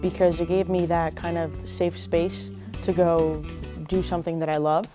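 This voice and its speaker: A young woman, a college athlete, answering interview questions in a relaxed, thoughtful way with fillers. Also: lowish voice, normal speed. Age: young adult. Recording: music bed under the voice.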